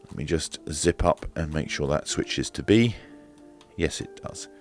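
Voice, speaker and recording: A man giving a hands-on equipment review in a measured, matter-of-fact, explanatory way. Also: extremely low pitch, lively intonation, faint music behind. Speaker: presenter of a radio equipment review. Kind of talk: hands-on demonstration and review commentary.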